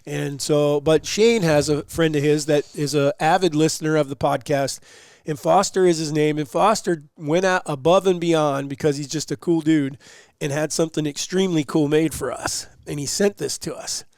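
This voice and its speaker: A man's voice: a little high, moderate pace, darkish tone, no echo, studio quality. A man co-hosting a casual podcast, sounding a little nasal from a runny nose, chatty and teasing. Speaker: podcast co-host.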